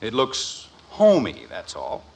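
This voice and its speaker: A man's voice: fairly low pitch, wildly swooping pitch, slow pace, creaky, full character voice. An actor playing a stubborn, exasperated middle-aged father in a 1950s radio sitcom. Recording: hissy old 1950s radio broadcast.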